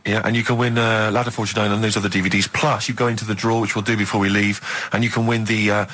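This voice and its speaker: Radio co-host, English male, very quick delivery, rough-edged voice, teasing comic banter. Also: lowish voice, flat delivery, a bit bright. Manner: teasing, mock-incredulous.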